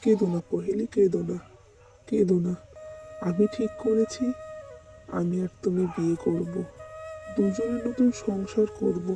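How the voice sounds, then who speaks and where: A woman's voice: medium pitch, steady tone, measured pace, pauses often, recorded from a distance, over music, close to an everyday voice, rough recording. A woman voicing an earnest, devoted young man in love in a melodramatic, soothing cartoon manner.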